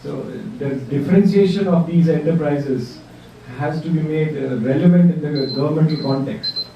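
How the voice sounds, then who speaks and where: A man speaking at a workshop discussion, pausing often with fillers and restarts. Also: a little high, dull audio. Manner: hesitant, many fillers and restarts. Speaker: workshop participant. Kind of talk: spoken contribution in a group discussion. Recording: distant mic in an echoey room with faint noise.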